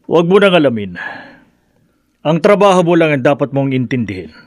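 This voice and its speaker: A man's voice: a little high, very animated, fairly quick, darkish tone, no room sound. Villain's henchman: swooping intonation, dry close sound, assured and coaxing, horror radio drama. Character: smooth, persuasive male henchman of a sinister master.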